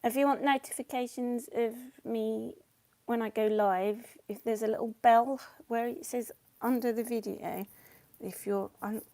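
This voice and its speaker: A woman's voice: on the high side, steady tone, measured pace, dark tone, dry recording. A woman chatting casually as she paints on a livestream, her voice partly lost and coughing, laughing often and answering comments. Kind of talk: casual chat while painting.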